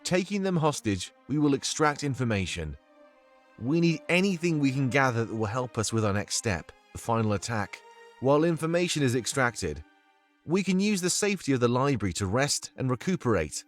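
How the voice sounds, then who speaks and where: A man playing a confident, measured rebel strategist in a fantasy visual novel game, briefing formally and deliberately. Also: medium pitch, sing-song, faint music behind, lightly acted. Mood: resolute, briefing.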